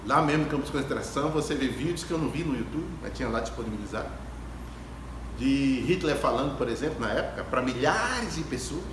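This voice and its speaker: A man's voice: mid-range voice, lively intonation, fast. A man gives a reflective spiritual lecture to an audience, telling stories emphatically with repetition and rhetorical questions. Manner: emphatic, repeats phrases and asks rhetorical questions. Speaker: speaker at a Spiritist gathering. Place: ecumenical hall before an audience. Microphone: distant phone camera. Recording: echoey hall, distant phone camera, clear background noise.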